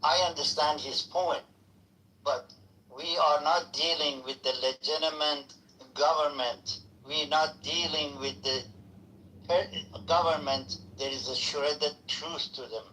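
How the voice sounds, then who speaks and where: A man's voice: a little high, steady tone, slow, pushed and bright, a little hiss or hum, rough recording. A man from Iran with an Iranian accent, Farsi his first language, arguing earnestly in broken English on a political call-in discussion.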